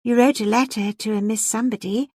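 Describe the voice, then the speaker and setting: A petulant young lady from a storybook romance, played by a woman reader, high and insistent. She coaxes and pleads with proud, emotional urgency, in a very dry recording. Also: fairly even intonation, brisk, darkish tone, breathy edge, no echo.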